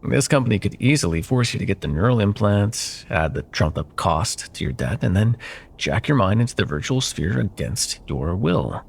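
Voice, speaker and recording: A smug, wealthy CEO ex-lover played by a man in an ASMR audio drama. His voice is very low and breathy, with a gravelly creak. He drawls with silky condescension, possessive and menacing, leaving pointed pauses. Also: big pitch swings, heavily acted. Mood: coolly menacing. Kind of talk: ASMR roleplay, intimate dramatic monologue.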